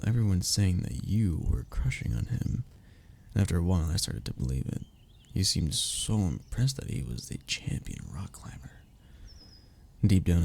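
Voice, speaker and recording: A man voicing a young, self-deprecating romantic lover in an intimate audio roleplay. The voice is very low, mellow and husky, teasing softly and tenderly, sometimes dropping toward a whisper. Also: full character voice. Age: young adult.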